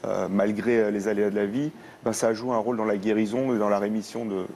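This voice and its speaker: A man's voice: fairly low pitch, fairly even intonation, fast, darkish tone, a little hiss or hum. A man commenting on guests' stories on a TV talk show, emphatic and repeating himself. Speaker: studio commentator. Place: TV studio set.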